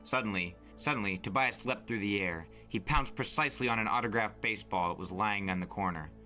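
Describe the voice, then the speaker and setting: Male narrator, lowish and forceful, dramatic first-person storybook read over soft music. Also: fairly quick. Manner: expressive, suspenseful first-person reading. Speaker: narrator and reader. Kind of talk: dramatic audiobook-style storytelling. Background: music bed.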